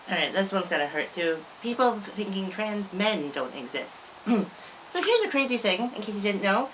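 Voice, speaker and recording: A young woman chatting straight to her viewers in a loose, rambling way, full of fillers and little laughs. Her voice sits at an ordinary middle pitch for a woman. A faint hiss runs under the recording. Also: big pitch swings, a little slow, fairly bright tone, rough recording. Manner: rambling, many likes and restarts, giggles often. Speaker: vlogger. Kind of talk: casual talk to camera.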